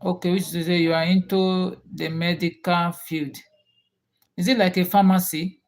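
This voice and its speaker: A man's voice: high voice, little pitch movement, normal speed, creaky. A man from Nigeria with a Nigerian accent, hosting a casual livestream chat and repeating his questions to viewers. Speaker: livestream host.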